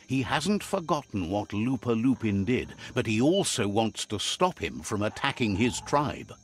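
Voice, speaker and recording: A man's voice: on the low side, very animated, unhurried, slight background noise. A man playing a solemn storybook narrator in a video game, speaking scripted lines over music.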